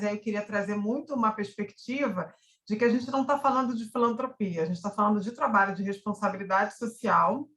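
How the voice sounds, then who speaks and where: A Brazilian woman hosts an online panel, handing over to speakers with light jokes. Her voice is mid-pitched. The room around her sounds echoey. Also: a bit bright. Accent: Brazilian Portuguese. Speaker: event moderator.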